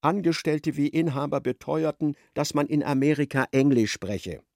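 A man narrating a radio play in an expressive, literary storytelling style. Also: fairly high pitch, moderate pace, gravelly, no room sound, clean studio sound.

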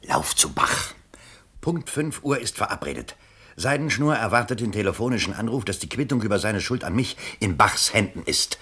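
Scheming bourgeois politician, radio play, low bright husky voice, pitch swings all over, urgent and bossy. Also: male voice, animated, normal speed, heavily acted. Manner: theatrical, urgent, commanding. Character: scheming, agitated bourgeois election candidate, master of the house. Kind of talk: 1970s German radio play acting.

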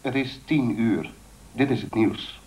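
A man plays a radio news announcer. He reads formally and with measure at a middle pitch, over faint background noise. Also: a little slow, lightly acted. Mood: grave, formal. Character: formal male radio newsreader delivering bulletins. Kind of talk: radio news bulletin acted in a radio play.

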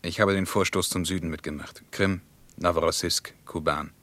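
A middle-aged German writer who was once a soldier. The voice is deep and very dry in the recording. He is sober and wary, speaking in terse, factual phrases. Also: steady tone, normal speed, a bit bright, no room sound. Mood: matter-of-fact confession. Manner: terse, matter-of-fact. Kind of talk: German radio play dialogue.

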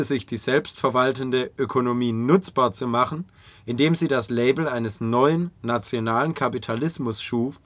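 A man's voice: medium pitch, fairly bright tone, dry recording. A man reading scripted narration as the narrator of a radio documentary.

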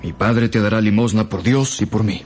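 A man's voice: on the low side, normal speed, darkish tone, slight background noise, close to an everyday voice. An actor playing an earnest, proud young nobleman in love in a radio drama.

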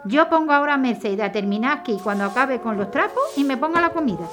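A woman playing a busy housewife mother, brisk and fussing gently at her son in a radio drama. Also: sits high, expressive, over music. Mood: cariñosa. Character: harried housewife mother, middle-aged, busy and fond. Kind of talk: amateur radio drama acting.

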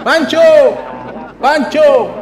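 A man's voice: very high voice, very slow, full character voice. A man playing a henpecked, easygoing but complaining husband with a Chilean accent in a radio play. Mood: exasperated. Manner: exclaiming, complaining. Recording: music and crowd behind. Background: outdoor fair crowd and music.

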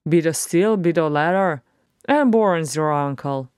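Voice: mid-range voice, expressive, measured pace, a little mellow, smooth, no echo, lightly acted. An irreverent dwarf warrior, as a storybook narrator plays him, breezy and sardonic. He speaks up boldly in a colloquial swagger, in a dry, close recording. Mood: cocky. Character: irreverent, wry male dwarf warrior, loud and cocky.

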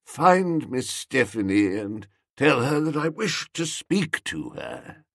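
A man's voice: mid-range voice, wildly swooping pitch, slow pace, creaky, a bit bright, husky, no echo, studio quality, full character voice. A man voicing a pompous older magistrate uncle, stiff, formal and aghast, in a comic audiobook. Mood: weary, despairing.

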